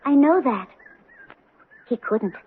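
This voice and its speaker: A fragile young bride with a fairly high voice. She is hesitant and brooding, with a stubborn streak. The old radio drama recording is muffled, with faint hiss. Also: expressive, slow. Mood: troubled, insistent. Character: fragile, troubled young bride haunted by the dead. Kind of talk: 1970s radio drama acting. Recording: muffled, hissy vintage radio broadcast.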